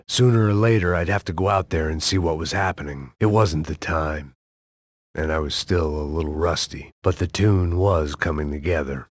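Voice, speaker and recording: A man voicing a world-weary, hard-drinking middle-aged ex-cop turned bodyguard in a video game, narrating in a dry, bitter deadpan. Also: low voice, dry recording, full character voice. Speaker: game character. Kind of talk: video game voice acting, noir voice-over.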